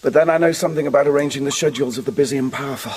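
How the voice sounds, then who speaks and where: An actor in a radio drama playing a bold, shrewd barbarian diplomat, persuasive, frank and assured. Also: male voice, medium pitch, very fast, darkish tone, a little hiss or hum, close to an everyday voice. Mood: bold, probing.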